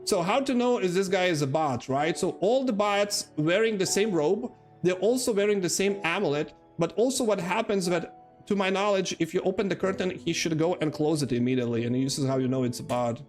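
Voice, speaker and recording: A man's voice: sits high, a little fast, soft background music. A man from Lithuania with a Lithuanian accent, chatting loosely with his viewers as he plays, rambling and poking fun at himself. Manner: rambling, chatty, self-mocking, talks to chat. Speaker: streamer. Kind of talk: casual live-stream gaming commentary.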